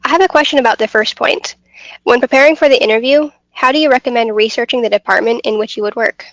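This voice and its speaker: A woman's voice: on the high side, fast talker, a bit bright, no echo, poor audio. A woman hosting a webinar, moderating and putting questions to a guest speaker. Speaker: webinar host.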